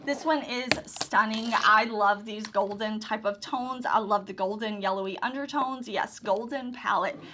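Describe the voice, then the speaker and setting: A woman's voice: mid-range voice, a little slow, hardly any pauses, background noise. A woman casually talking through her makeup collection, gushing and enthusiastic, repeating her favorite phrases. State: hoarse, recovering lost voice. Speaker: beauty YouTuber. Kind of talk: casual product overview.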